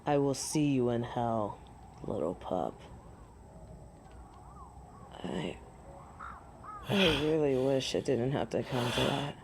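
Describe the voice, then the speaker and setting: Defiant she-wolf rival female actor, sharp-tongued and theatrical, slow measured delivery, slightly noisy recording. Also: very deep voice, animated, heavily acted. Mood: cold, regretful. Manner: sardonic, stern, theatrical. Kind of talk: dramatic audio roleplay acting. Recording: faint background noise.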